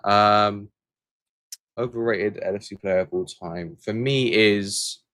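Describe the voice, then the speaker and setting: A man's voice: lowish voice, steady tone, slow pace, fairly bright tone, no echo. A man from England hosting a casual livestream, reading viewer comments aloud and answering them in a rambling, hesitant way full of fillers and restarts. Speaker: channel host answering viewer questions.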